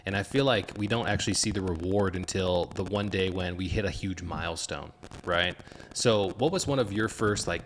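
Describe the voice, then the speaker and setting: A man's voice: low-pitched, a bit bright, slight background noise. A man hosting a podcast, posing long, reflective interview questions in an earnest, motivational way. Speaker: podcast host.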